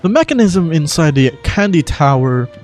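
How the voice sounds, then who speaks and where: An excitable young architect from a fantasy game, acted by a man. The voice sits high for a male and swings widely in pitch. He is theatrical, emphatic and earnest, quick to sound indignant. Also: soft background music. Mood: eager.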